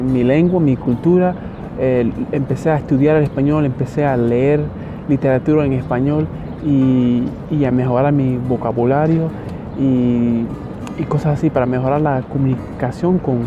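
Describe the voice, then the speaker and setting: Hesitant young man, dull lo-fi sound. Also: medium pitch. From Miami, United States. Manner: hesitant, many restarts and fillers. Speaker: vlogger. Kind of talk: casual talk to camera. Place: outdoors in a city. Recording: dull lo-fi audio with loud background music.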